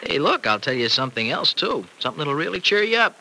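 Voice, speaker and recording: An actor playing a chatty, teasing young farmer in a 1940s-style radio soap opera, on a noisy old recording. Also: male voice, fairly high pitch, sing-song, quick pace, pushed and bright, full character voice. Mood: teasing, friendly.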